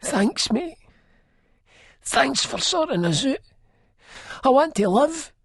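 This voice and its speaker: A man playing a battered, hapless Scottish junkie in an audiobook, meek and self-loathing, groaning out halting, plaintive lines. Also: extremely high pitch, big pitch swings, dry recording, studio quality, pitched above the performer's own voice, full character voice. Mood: grateful pleading. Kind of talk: dramatised audiobook acting.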